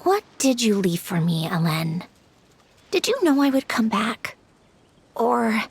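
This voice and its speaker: A woman's voice: on the high side, sing-song, takes it slowly, slightly rough, exaggerated voice. A woman voicing a proud, guarded young woman inventor in a video game, speaking in a composed, precise way. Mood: pensive. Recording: background music under the voice.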